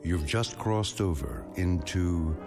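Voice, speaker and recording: An actor delivering grave, measured, portentous narration for an eerie radio drama anthology. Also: male voice, deep voice, expressive, over loud music.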